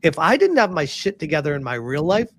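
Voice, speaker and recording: A man's voice: on the high side, big pitch swings, a little fast. A laid-back man with a raised voice chats on a streamers' panel. He talks loosely and swears freely, as if among friends. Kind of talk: casual panel chat.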